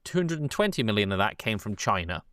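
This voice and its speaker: Lowish-voiced man leading casual discussion. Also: big pitch swings, quick pace, fairly bright tone, dry recording. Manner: informal, steering the discussion. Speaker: film podcast co-host. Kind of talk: casual film chat with facts.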